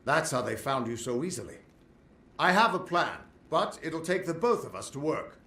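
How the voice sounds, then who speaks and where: A man's voice: a little high, big pitch swings, normal speed, sharp tone, roomy sound, heavily acted. A man playing a middle-aged, boastful but loyal veteran adventurer in a fantasy game, with a theatrical, earnest delivery. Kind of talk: fantasy game dialogue acting.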